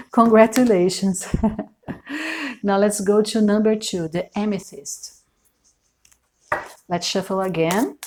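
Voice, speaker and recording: A woman from Brazil with a Brazilian Portuguese accent, giving a tarot reading in English, her second language, at a slow and deliberate pace, often pausing to search for words and repeating phrases. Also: medium pitch, steady tone, darkish tone. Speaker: tarot reader. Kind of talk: unscripted tarot reading to camera.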